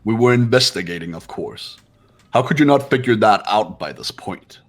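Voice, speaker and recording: Haughty young game character, male actor: curt, condescending, slightly husky, faint music behind. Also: fairly low pitch, lively intonation, moderate pace. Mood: curt, impatient. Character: haughty, cool-headed young man, impatient and superior. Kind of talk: fan-dubbed visual novel game acting.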